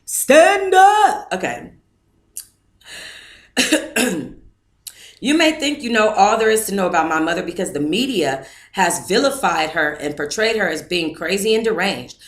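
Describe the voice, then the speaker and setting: A woman's voice: mid-range voice, animated, a little slow, long pauses. A woman hosting a book club, reading a book aloud on a live stream and chatting casually with her viewers between passages. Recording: echoey room.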